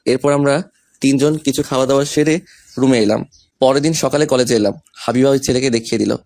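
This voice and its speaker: Young man narrating, medium-pitched voice. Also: fairly even intonation, a little fast, darkish tone, no room sound. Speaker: story narrator and male lead. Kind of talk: scripted first-person romantic story narration with dialogue.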